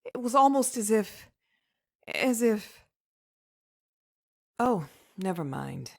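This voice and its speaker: Troubled young wife played by a woman: slow, halting delivery, expressive swings, emotional comic-book drama. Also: medium pitch, vocal fry, exaggerated voice. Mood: shaken, bewildered. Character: distraught young wife shaken by a haunting dream. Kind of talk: dramatic comic dub acting.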